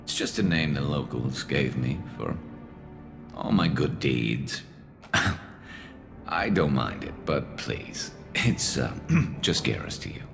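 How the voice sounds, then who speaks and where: An actor voicing a world-weary male alien vigilante sniper in a video game, gravelly, with a dry, laconic, wry delivery. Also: very deep voice, lively intonation, takes it slowly, pauses often, over music, heavily acted. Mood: dry humour.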